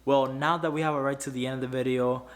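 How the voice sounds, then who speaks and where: Young guy, spanish is his first language, earnest, trips on words a lot, mid pitch. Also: level tone, quick pace. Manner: earnest, stumbling with many restarts. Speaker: YouTuber. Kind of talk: unscripted finance advice to camera.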